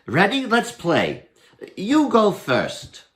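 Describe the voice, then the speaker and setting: Man acting a wily, animated storybook trickster. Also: high voice, very slow, pitched above the performer's own voice, full character voice. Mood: instructing. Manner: coaxing, mock-innocent. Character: wily, playful folk hero outwitting goblins.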